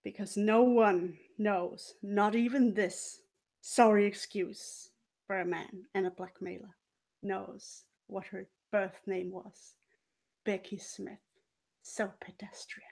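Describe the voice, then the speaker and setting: A woman telling a story in character as a haughty, scheming former cabaret dancer, theatrical and deliberate with dramatic pauses and swells. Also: medium pitch, steady tone, creaky, a little mellow. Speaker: player in a murder mystery role-playing game.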